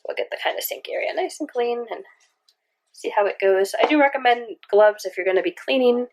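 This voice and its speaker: A woman's voice: on the high side, brisk, darkish tone, slight background noise. Woman with a stuffy cold voice. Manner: chatty, rambling, frequent restarts. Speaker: cleaning video host. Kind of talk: casual product test vlog. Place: home kitchen.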